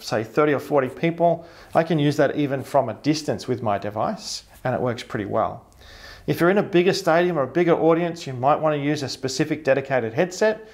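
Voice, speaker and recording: Australian man giving tech tips. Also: on the high side. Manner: upbeat, explanatory, direct to camera. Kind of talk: scripted tutorial to camera. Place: indoor studio with a blurred office backdrop.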